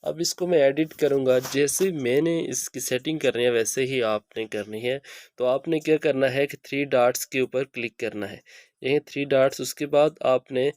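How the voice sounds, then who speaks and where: A man's voice: mid-range voice, normal speed, a little mellow, no room sound. A man hosting a how-to tutorial, speaking instructionally and repeating each step as he goes. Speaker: video host giving an app tutorial.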